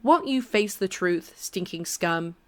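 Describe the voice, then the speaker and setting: A woman's voice: on the low side, lively intonation, slow pace. A woman voicing a seething, contemptuous demon who threatens and mocks. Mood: seething menace. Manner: seething, menacing.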